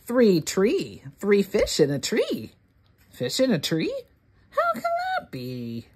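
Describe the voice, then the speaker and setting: A woman reading a rhyming picture book aloud for young children, word by word, with deliberate expression. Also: mid-range voice, noisy background. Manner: deliberate, word-by-word, expressive delivery for early readers. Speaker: children's read-along host.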